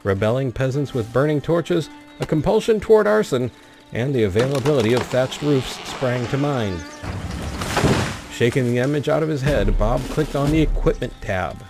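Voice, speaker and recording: A man's voice: medium pitch, animated, moderate pace, music in the background. A man hosting a gaming video, commenting on play and reading the game's story text aloud. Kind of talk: let's play commentary mixed with reading in-game narration aloud.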